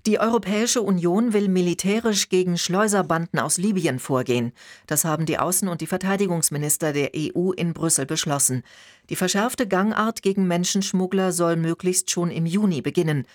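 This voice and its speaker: A woman with a standard German accent anchors a radio news bulletin in a fairly low voice. Her reading is formal and factual. The sound is dry and close, with no room to it. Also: brisk, no echo.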